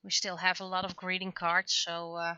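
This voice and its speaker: A woman chatting casually on a livestream as an artist at work, speaking haltingly as she thinks aloud. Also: lowish voice, monotone, pushed and bright, clear, resonant tone, highs cut off.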